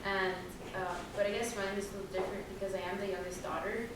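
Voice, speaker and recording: A woman's voice: mid-range voice, steady tone, fast talker, noisy background. Young woman chatting loosely in an echoey hall. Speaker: panelist. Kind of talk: personal storytelling on a panel. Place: large echoey room. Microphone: distant room mic. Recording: echoey hall recorded from far off.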